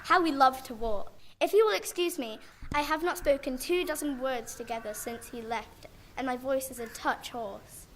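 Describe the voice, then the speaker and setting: A woman's voice: high-pitched, moderate pace, hardly any pauses, slight background noise, close to an everyday voice. A young girl playing a lonely, grieving widowed schoolmistress in a radio play. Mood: moved, hopeful. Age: child or young performer.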